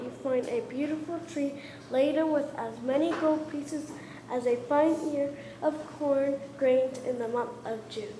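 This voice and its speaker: A young child playing a smooth-talking swindler who tempts a puppet, reciting in a puppet show. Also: high voice, warm tone. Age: child, first grader. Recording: echoey hall, faint noise.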